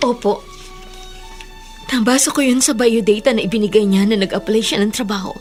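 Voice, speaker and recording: Woman acting high-voiced, emphatic wronged elder sister. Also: brisk, darkish tone, faint music behind, lightly acted. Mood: earnest. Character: wealthy long-lost elder sister, hurt, insistent, protective. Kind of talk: Filipino radio drama acting.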